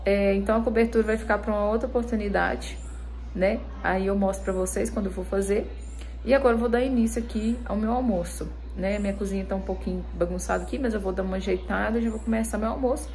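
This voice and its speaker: A woman's voice: mid-range voice, fast. A young woman with a Brazilian Portuguese accent chatting casually to her phone camera in her home kitchen, with many little tag questions. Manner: chatty, relaxed, with many "né" tags. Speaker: vlogger. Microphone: phone held close, selfie framing.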